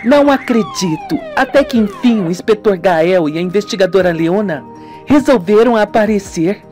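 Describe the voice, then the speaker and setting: Guy doing a high voiced young lady in a radio soap, curious, lively, music behind. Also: moderate pace. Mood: relieved. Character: lively young woman, curious and sceptical hostess. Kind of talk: amateur radio soap acting.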